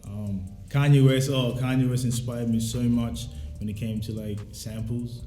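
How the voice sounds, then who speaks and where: A young man talks through his thoughts in a casual interview. His voice is very mellow and soft-edged, and his delivery is slangy, reflective and easygoing. The sound is roomy, with music quietly playing behind him. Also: mid-range voice, fairly even intonation, moderate pace. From South Sudan, raised in Australia. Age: young adult. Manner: laid-back, slangy, reflective. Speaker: musician, rapper and producer being interviewed.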